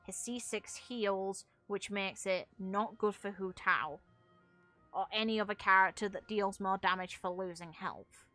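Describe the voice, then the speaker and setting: A woman's voice: mid-range voice, unhurried, bright, forceful tone, soft background music. A woman giving casual, opinionated video game commentary as a streamer, with some casual swearing.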